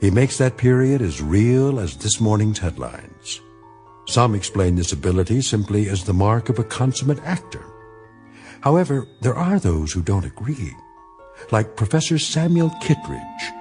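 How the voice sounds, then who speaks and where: An actor narrating an anthology radio play in a measured, knowing and ominous scripted style. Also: male voice, on the low side, a little mellow.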